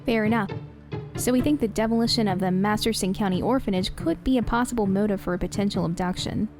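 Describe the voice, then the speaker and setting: A woman's voice: medium pitch, quick pace, music in the background, lightly acted. Woman playing a poised police detective. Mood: probing. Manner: formal, probing. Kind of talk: audio drama acting.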